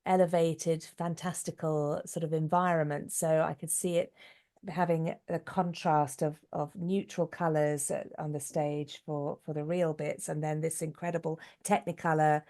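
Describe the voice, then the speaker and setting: Low-voiced woman in conversation. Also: flat delivery, moderate pace, warm tone. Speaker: interview guest, actress, writer and singer. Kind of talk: conversational interview answers.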